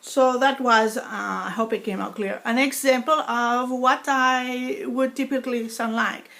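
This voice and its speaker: A middle-aged woman whose speech disorder gives her a foreign-sounding accent, talking slowly and haltingly with many pauses and fillers. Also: on the high side, steady tone, creaky, fairly bright tone. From New York. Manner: slow halting speech, many fillers, dropped in-between words. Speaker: vlogger sharing her experience. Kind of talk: personal talk to camera.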